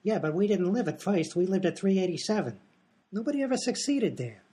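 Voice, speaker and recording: Man doing a high-pitched, muddled professor. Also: sing-song, brisk, dark tone, slightly rough, heavily acted. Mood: earnest, muddled. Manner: muddled, hesitant, guileless. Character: dim, amnesiac male professor, placid and literal-minded. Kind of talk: comedy radio play acting.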